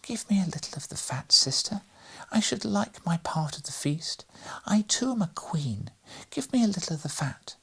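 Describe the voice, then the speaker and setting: A tiny storybook mouse queen, acted out by a male narrator, with a high, whispery voice. She whimpers and wheedles like a proud little beggar. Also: lively intonation, normal speed, gravelly, pushed and bright, breathy edge, exaggerated voice, low-quality recording. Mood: whimpering, begging. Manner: pleading, wheedling.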